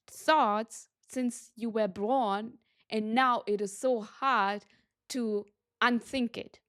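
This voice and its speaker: Slow, earnest woman coach. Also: on the high side. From Germany. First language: Tamil. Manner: earnest, emphatic, repeats for stress. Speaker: business coach. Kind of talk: motivational talk to camera.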